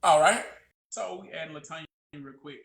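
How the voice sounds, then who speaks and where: Loud, bright-voiced man. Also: a little high, moderate pace, gravelly. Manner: energetic, repeats himself, restarts often. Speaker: podcast co-host. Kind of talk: casual live-stream chat. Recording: roomy echo.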